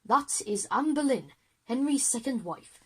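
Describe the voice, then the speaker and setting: A woman's voice: a little high, lively intonation, unhurried, a little mellow, breathy edge. A woman voicing a well-meaning, flustered male history teacher in a children's audio drama, explanatory and apologetic.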